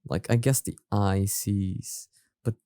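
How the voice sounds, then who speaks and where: A man hosting a reaction video, commenting casually and thinking out loud in a halting, hesitant way. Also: lowish voice, steady tone, dry recording, clean studio sound.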